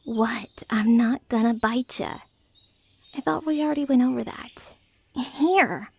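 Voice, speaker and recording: A woman voicing a friendly, naive, excitable dragon in humanoid form, chatty and playful in a soft ASMR roleplay style. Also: a little high, a little slow, gravelly, slightly rough, exaggerated voice. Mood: proud, chatty. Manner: chatty, playful, casually blunt about eating people.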